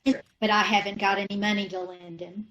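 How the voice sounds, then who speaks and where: A woman's voice: mid-range voice, little pitch movement, moderate pace, fairly bright tone, slight background noise, close to an everyday voice, poor audio. A woman playing a scatterbrained middle-aged housewife in an old-time radio comedy, naive and earnest, mixing up her words, heard over a video call.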